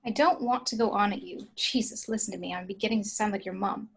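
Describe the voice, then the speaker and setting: A woman's voice: medium pitch, fast, creaky, husky. A woman playing an exasperated but affectionate girlfriend in her twenties, earnest and pleading, in an informal table read of a film script. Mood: earnest exasperation. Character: exasperated, affectionate 29-year-old girlfriend, wanting more from life.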